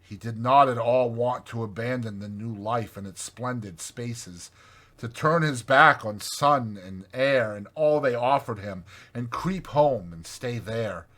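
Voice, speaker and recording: A man narrating a classic storybook as a dramatized audiobook, in an expressive, measured way. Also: medium pitch.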